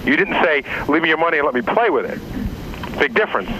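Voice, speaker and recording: A man's voice: fairly high pitch, sing-song, quick pace, crowd noise, low-quality recording. A man arguing insistently and with exasperation in a heated phone dispute, in a radio playback. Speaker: caller confronting his stockbroker.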